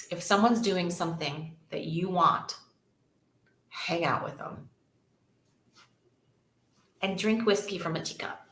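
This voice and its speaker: A woman's voice: on the low side, echoey room. A woman, a real estate agent chatting casually and upbeat to livestream viewers, repeating words for emphasis.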